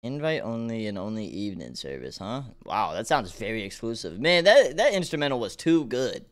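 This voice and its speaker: A man's voice: on the low side, very animated, brisk. A man giving casual, slangy reaction commentary with informal exclamations. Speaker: reaction video host. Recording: game music playing underneath.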